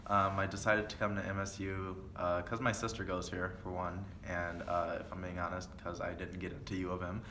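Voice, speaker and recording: A young man, a second-year college student, answering interview questions thoughtfully with many pauses and fillers. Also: low voice, little pitch movement, bright, forceful tone. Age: young adult. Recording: echoey room with faint noise.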